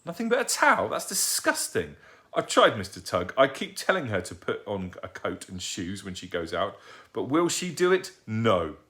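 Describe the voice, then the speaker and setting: A man's voice: fairly low pitch, sing-song, moderate pace, a bit bright. A man voicing a cheeky, joking father who plays innocent in an audiobook. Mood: mock innocent. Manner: teasing, mock-innocent.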